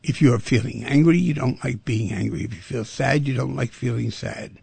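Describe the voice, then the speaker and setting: A man hosting a talk radio show, talking in an animated way and asking rhetorical questions. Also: medium pitch, normal speed, creaky, breathy edge, no room sound.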